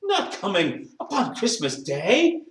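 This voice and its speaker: A man voicing a meek, warm-hearted, cheerful poor father in an earnest, dramatised storybook reading. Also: fairly high pitch, animated, moderate pace, voice pushed up. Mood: excited, dismayed. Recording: roomy, distant microphone.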